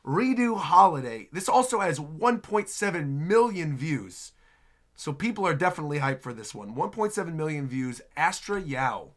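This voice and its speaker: A host giving casual, excitable reaction commentary on game trailers. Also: medium pitch, normal speed. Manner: excitable, exclamatory.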